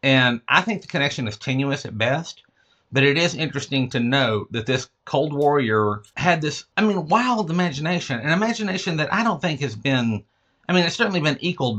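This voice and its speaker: Man with a lisp, bright forceful voice. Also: medium pitch, expressive, fairly quick. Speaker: interview guest, fiction writer and teacher. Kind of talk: conversational literary interview, discursive explanations.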